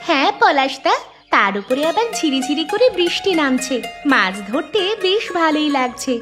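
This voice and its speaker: A woman's voice: high voice, big pitch swings, moderate pace, over music. A woman voicing a stubborn, vengeful little village girl in a children's cartoon, speaking with defiant emphasis. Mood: delighted.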